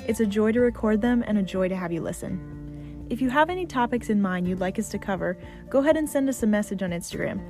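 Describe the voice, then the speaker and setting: A woman co-hosting a faith podcast, speaking in a steady, earnest way as she sums up points and thanks listeners. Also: mid-range voice, quick pace, faint music behind. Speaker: podcast co-host. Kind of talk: casual faith chat.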